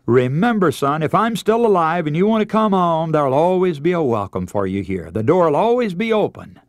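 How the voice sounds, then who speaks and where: A grieving old father acted out by a man preaching in a radio sermon. He pleads tenderly in halting, emphatic phrases with animated pitch, heard in a dry space. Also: dry recording. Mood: tender pleading.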